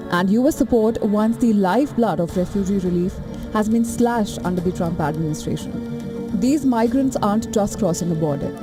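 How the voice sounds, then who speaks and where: A woman reporter reading a scripted news voice-over with dramatic, emphatic phrasing. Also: medium pitch. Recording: voice-over with music bed.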